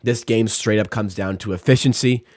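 A man's voice: fairly low pitch, steady tone, moderate pace, a little mellow, no echo. A young man in his twenties hosting a casual post-game sports stream, running through stats with fillers like "like" and "uh", on a close studio microphone in a small room at home. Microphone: studio mic on boom arm, close.

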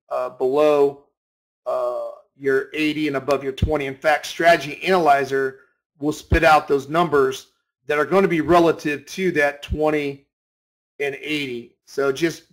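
A man giving a casual screen-share tutorial as a trading instructor, explaining informally and repeating phrases for emphasis. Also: a little high. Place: voice-over on a screen recording.

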